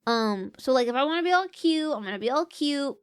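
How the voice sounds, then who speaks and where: A woman streaming, chatting casually and rambling with fillers, letting her pitch swing with her mood. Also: animated, fairly quick.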